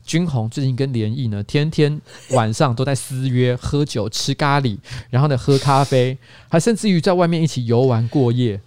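A man's voice: mid-range voice, moderate pace, clear, resonant tone, no echo. A man in his forties with a Taiwanese accent hosting a podcast in a casual, jokey storytelling manner. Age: 40s. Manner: animated, jokey storytelling with frequent laughter. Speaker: podcast host. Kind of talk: casual comedic podcast chat.

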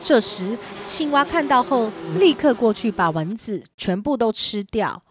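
A woman's voice: on the high side, animated, a little slow, noisy background. A woman narrator reading a scripted story for children.

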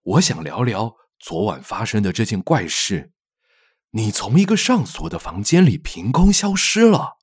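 A man's voice: fairly low pitch, big pitch swings, unhurried, slightly rough, heavily acted. A man playing a worried, courteous middle-aged father in a radio drama. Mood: gentle, courteous.

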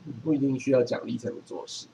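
A man's voice: medium pitch, steady tone, fast talker, dark tone. Taiwanese Mandarin man, noisy recording. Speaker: podcast co-host. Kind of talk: casual chat. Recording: clearly noisy background.

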